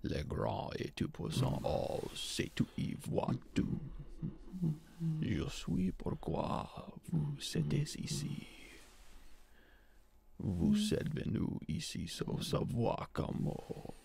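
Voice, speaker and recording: A man playing an imperious all-powerful wizard in an ASMR film, pompous, breathy and commanding. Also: fairly high pitch, big pitch swings, extremely slow, darkish tone, full character voice. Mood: grand, imperious. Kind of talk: French ASMR roleplay film.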